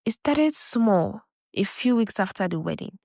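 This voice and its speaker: A woman's voice: mid-range voice, animated, a little slow, creaky, darkish tone, dry recording, clean studio sound. A woman storyteller reading a scripted tale as narration. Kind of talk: scripted folktale narration with acted dialogue.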